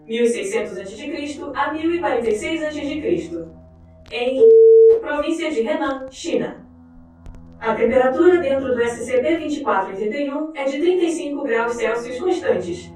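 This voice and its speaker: A woman narrating a formal scripted document in a measured way. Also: sits high. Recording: very echoey, distant, faint music behind.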